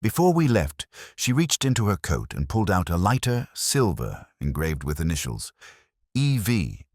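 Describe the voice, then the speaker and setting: A man narrating a scripted first-person detective story in a measured, literary, reflective way. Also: sits low, huge pitch swings, a little mellow, dry recording, clean studio sound.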